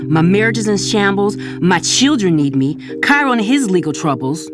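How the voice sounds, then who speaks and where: An actress plays a guarded middle-aged wife and mother in an audio drama. The woman is emphatic and exasperated, her pitch moving in lively swings. Clear music plays under her lines. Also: normal speed, close to an everyday voice. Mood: firm, exasperated.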